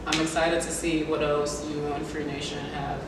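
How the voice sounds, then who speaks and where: A man's voice: on the high side, level tone, moderate pace. A man hosting an informal interview, chatting with playful teasing and slang. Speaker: interviewer, host. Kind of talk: casual chat, quiz-style interview. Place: reverberant indoor room. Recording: echoey room, distant mic, clearly noisy.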